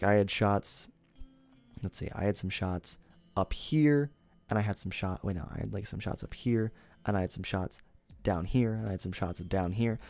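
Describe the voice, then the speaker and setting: A man's voice: on the low side, darkish tone. A young man streaming, chatting casually and rambling, often correcting himself and laughing.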